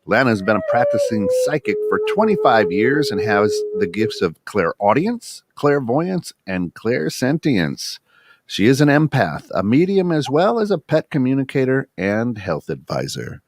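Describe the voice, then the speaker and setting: A man announcing a psychic talk show, reading slowly with a sing-song lilt. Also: mid-range voice, sing-song. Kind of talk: announcing the show, then casual chat.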